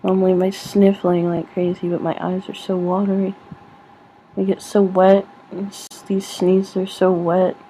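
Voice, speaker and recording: A woman's voice: medium pitch, flat delivery, moderate pace, dark tone, a little hiss or hum, poor audio. A young woman vlogging at home, rambling casually with a giggle, sniffly from sneezing. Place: at home in front of a screen.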